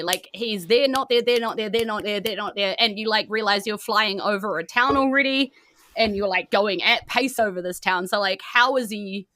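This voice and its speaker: A New Zealand woman acts as game master, improvising the story in an animated, colloquial way. Her voice is bright and forward at a medium pitch, recorded dry and close. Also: dry recording. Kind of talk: improvised tabletop game narration.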